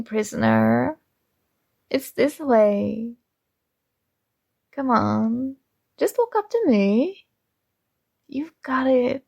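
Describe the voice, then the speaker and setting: A woman voicing a possessive young princess in an ASMR roleplay, talking in a soft, slow, coaxing and teasing way. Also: high voice, creaky, no room sound, lo-fi sound, full character voice.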